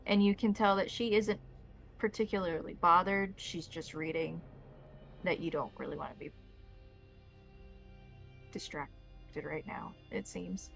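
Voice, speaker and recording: A woman narrating a tabletop roleplaying game as game master, describing scenes in deliberate detail. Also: fairly low pitch, fairly even intonation, creaky, fairly bright tone, faint music behind.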